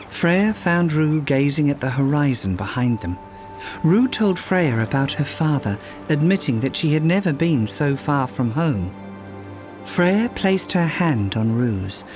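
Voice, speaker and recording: Expressive, unhurried male storybook narrator over music. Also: a little high. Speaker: storyteller reading a children's book aloud. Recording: music behind the voice.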